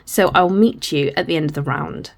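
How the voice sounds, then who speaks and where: A hoarse woman, patiently talking through a crochet tutorial step by step, often apologising as she goes. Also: low-pitched, moderate pace. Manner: patient, apologetic, talks through each hand movement.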